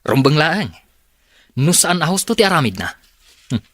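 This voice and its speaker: A man's voice: a little high, big pitch swings, moderate pace, noisy background. A man voicing a stern, blunt company manager in a radio drama.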